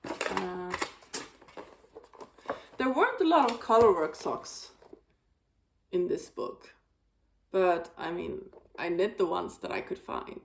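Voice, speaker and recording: A woman hosting a knitting video, chatting casually about her projects, with a slightly raspy voice and a rambling, filler-heavy way of talking. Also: lowish voice, lively intonation, slow pace, pauses often, a little mellow, slight background noise. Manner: rambling, many fillers and restarts. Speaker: knitting podcast host.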